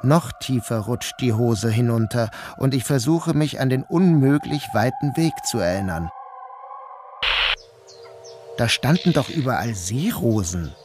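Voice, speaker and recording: An actor playing a troubled, dazed man who narrates his own day in a measured, literary radio drama style. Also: medium pitch, lively intonation, background noise, lightly acted. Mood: dazed, strained. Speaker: first-person narrator.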